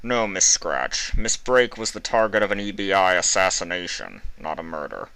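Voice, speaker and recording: A cool, condescending interrogator, performed by a man reading a story, speaking in measured, menacing phrases. The voice is forceful and sits a little above the reader's low narration, recorded close and dry. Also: animated, creaky, dry recording, heavily acted, low-quality recording.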